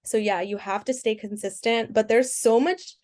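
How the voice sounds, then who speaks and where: A woman's voice: fairly high pitch, steady tone, normal speed, darkish tone. Easygoing young woman guest. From United States. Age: 20s. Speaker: guest, fashion content creator. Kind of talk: casual interview answers.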